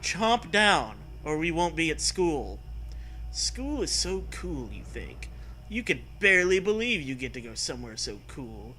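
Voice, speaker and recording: Male comedy host, bright forceful delivery, unhurried pace, hammy read-aloud of parody fiction. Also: fairly high pitch, sing-song, slight background noise. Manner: theatrical, breaks into laughter while reading.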